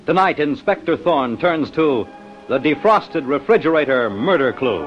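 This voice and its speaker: A man's voice: fairly high pitch, lively intonation, normal speed, highs cut off, close to an everyday voice. A man narrating a 1950s radio detective drama as its dramatic announcer. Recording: old band-limited radio recording, music behind.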